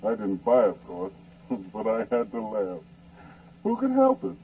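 Muffled old-radio wry American storyteller. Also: male voice, fairly low pitch, wildly swooping pitch, measured pace, dark tone, breathy edge, heavily acted. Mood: wry amusement. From Kansas, United States. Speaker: narrator and essayist. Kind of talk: 1940s radio dramatized first-person narration. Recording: muffled, distant, noisy old radio broadcast.

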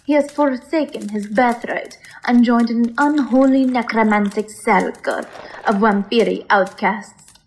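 A woman's voice: fairly high pitch, a little slow, poor audio. An actress playing a seductive, ominous gothic vampire lady in an audio drama, formal and theatrical.

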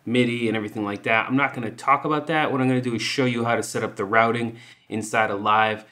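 A man hosting a music software tutorial, explaining informally and thinking aloud as he goes. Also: mid-range voice, steady tone, fast talker. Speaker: music production tutorial host.

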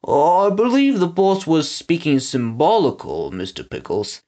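A man's voice: a little high, big pitch swings, a little slow, mellow. A man voicing a nervous, bookish storybook henchman who is wordy, hesitant and pedantic. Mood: nervous, explaining. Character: nervous, bookish, philosophizing henchman, easily exasperated.

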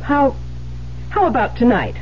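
An actress playing an elegant, agitated wife in a 1970s radio drama, theatrical and halting, with stammers. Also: female voice, fairly high pitch, huge pitch swings, a little hiss or hum. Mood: tense. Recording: muffled old radio broadcast, music.

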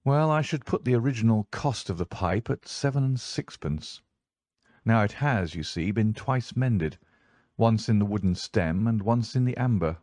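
An assured, analytical gentleman detective played by a male narrator in an audiobook. He speaks precisely, as if lecturing, in a fairly low voice with very little room sound. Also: lively intonation, moderate pace, dry recording, clean studio sound, close to an everyday voice. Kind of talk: audiobook character voice.

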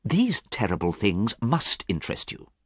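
A man reading as an earnest artist who talks quietly and sadly to a friend he fears for. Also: fairly low pitch, wildly swooping pitch, moderate pace, breathy edge, dry recording, clean studio sound, voice pushed up, full character voice. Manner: quiet, pleading, sad. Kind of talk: audiobook character reading.

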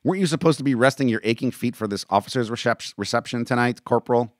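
A man's voice: medium pitch, big pitch swings, quick pace, a bit bright, dry recording, studio quality, voice pushed up. A man voicing a stern, exasperated army company captain in an audiobook, with a barking, commanding manner. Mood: sneering, scolding. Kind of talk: audiobook character acting.

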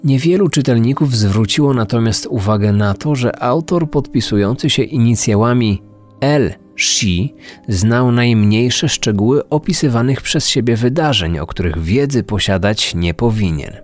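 A man hosting a true-crime podcast with serious, deliberate scripted narration. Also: medium pitch, animated, darkish tone. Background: faint music.

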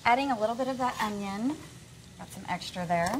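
A woman's voice: mid-range voice, brisk, a little hiss or hum, rough recording. A young woman guest on a live TV cooking segment, explaining a recipe in an upbeat, chatty way. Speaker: cooking guest from a grocery store. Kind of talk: live TV cooking demo chat. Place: TV studio kitchen set.

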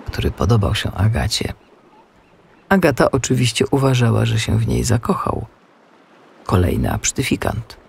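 A man narrating a children's audiobook in an expressive, scripted storytelling style. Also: medium pitch, gravelly, husky.

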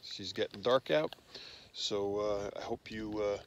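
A man in his fifties with a fairly low voice speaks casually to a phone held at arm's length outdoors. He goes in halting short phrases, pausing often, with faint noise behind him. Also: fairly even intonation. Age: 50s. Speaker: host, father fishing with his sons. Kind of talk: casual outdoor vlog narration. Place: outdoors on a frozen lake. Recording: noisy outdoor phone recording.

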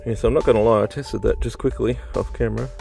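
A man's voice: lowish voice, fairly even intonation, quick pace, gravelly, warm tone, soft background music. A man giving casual, unscripted vlog commentary in a rambling, understated way, recorded on a handheld phone in and around a car. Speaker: car audio hobbyist vlogger.

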